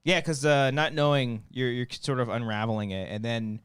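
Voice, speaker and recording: A man's voice: mid-range voice, fairly even intonation, moderate pace, fairly bright tone, smooth, dry recording, clean studio sound. A man co-hosting a podcast, chatting casually about TV shows. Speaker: podcast co-host.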